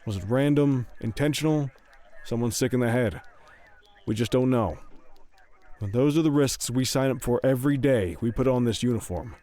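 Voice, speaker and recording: An actor playing a stern, fatherly police captain in a video game drama, speaking in a measured, authoritative, world-weary way. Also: medium pitch, dark tone, natural voice. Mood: grave, paternal. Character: stern, paternal male police captain, middle-aged or older. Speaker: police chief addressing officers.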